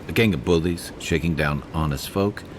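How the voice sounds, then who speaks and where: Man playing a very deep, gruff game companion. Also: normal speed, noisy background, close to an everyday voice. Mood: wry, practical. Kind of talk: video game voice acting.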